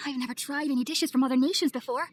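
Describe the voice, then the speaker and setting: A woman playing an earnest, polite young scholar in a video game, speaking in a formal, measured, articulate way. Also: high voice, steady tone, close to an everyday voice. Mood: grateful, gentle. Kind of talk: video game cutscene acting.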